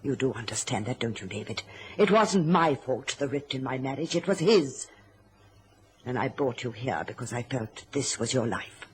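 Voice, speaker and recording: A woman's voice: extremely low pitch, lively intonation, normal speed, a little mellow, slight background noise. An actress playing a bitter, scheming, self-pitying middle-aged mother in an old radio drama, with an indignant, martyred, theatrical manner. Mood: bitter, self-justifying.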